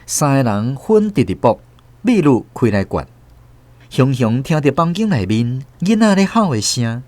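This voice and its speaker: A man's voice: mid-range voice, very animated, measured pace, darkish tone, no echo. A man with a Taiwanese accent narrating a radio drama in a dramatic, scripted storytelling style.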